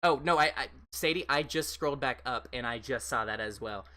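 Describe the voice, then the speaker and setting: A woman with an American accent and a fairly deep voice, chatting casually as a livestream host and replying to viewers in a rambling, reassuring way. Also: a little fast, pushed and bright. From United States.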